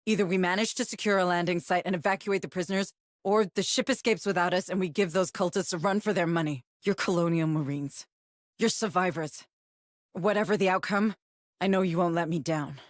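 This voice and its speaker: A woman playing a resolute, solemn space-colony leader in a video game, speaking earnestly and with measured purpose. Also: fairly low pitch, no room sound, clean studio sound, close to an everyday voice. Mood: solemn resolve. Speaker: military operation commander. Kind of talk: dramatic video game acting.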